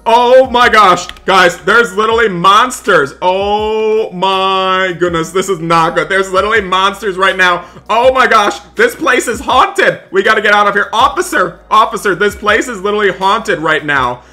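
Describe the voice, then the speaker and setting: A man's voice: high-pitched, normal speed, few pauses, bright, forceful tone. A young man doing dramatic, emphatic roleplay commentary over a video game for his viewers, often repeating his exclamations. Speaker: gaming video creator. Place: indoors, voice-over on game footage. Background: music.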